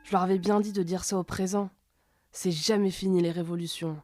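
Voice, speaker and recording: A woman's voice: lowish voice, fairly even intonation, a little fast, heavy background noise. A woman delivering scripted lines for audio fiction.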